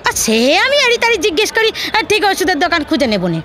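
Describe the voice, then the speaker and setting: A noisy, busy street surrounds a very high-pitched village housemaid played by a woman in an audio drama. She is naive and flustered, with a rural West Bengali accent. Her folksy, hesitant talk rises and falls in big swings. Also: very animated, rapid-fire, bright, forceful tone, a faint crowd, exaggerated voice.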